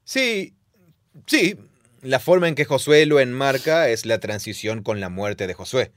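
A man dubbing a podcast co-host, explaining things with quick affirmations and light laughs, recorded in a studio. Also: mid-range voice, expressive, a bit bright, dry recording. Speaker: podcast co-host, Spanish dub. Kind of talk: dubbed conversational podcast.

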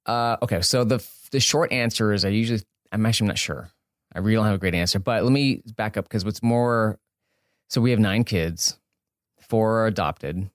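A man's voice: lowish voice, moderate pace, dry recording, clean studio sound. A man in his forties giving a casual interview as a guest, informal and self-effacing, rambling a little as he explains. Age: 40s. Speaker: podcast guest, business author. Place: indoor studio space.

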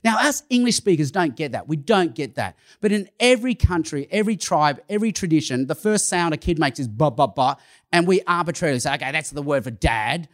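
High-voiced man preaching, animated. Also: a little fast. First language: Cantonese. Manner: animated, acts out dialogue with rising emphasis. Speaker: pastor. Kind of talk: sermon storytelling.

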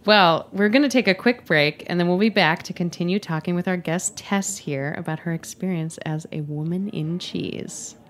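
A woman's voice: fairly low pitch, normal speed, few pauses. A woman hosting an interview podcast, encouraging her guest with short replies and laughter. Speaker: podcast host. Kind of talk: casual interview conversation.

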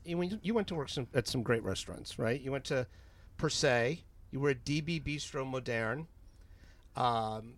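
A man's voice: mid-range voice, expressive. Man asking long winding interview questions. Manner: long winding questions, many restarts, quick affirmations. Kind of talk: onstage interview.

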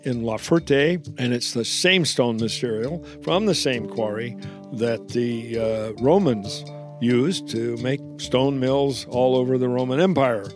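A man with a mid-range voice explains things steadily in a radio advertisement. Soft guitar plucks along faintly underneath him. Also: mid-range voice, unhurried. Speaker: miller in an ad. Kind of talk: scripted ad dialogue. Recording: faint guitar music behind.

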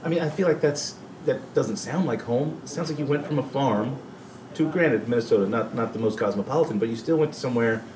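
A man's voice: mid-range voice, fairly quick. A man interviewing a guest in a casual, conversational style. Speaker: interviewer, show host. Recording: distant, echoey, noisy room.

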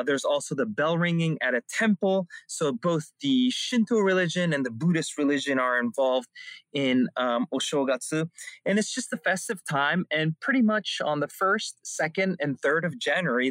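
Dry-sounding man, relaxed guest. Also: a little high, moderate pace, no room sound. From Japan. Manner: relaxed, many ums and uhs. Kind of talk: interview conversation.

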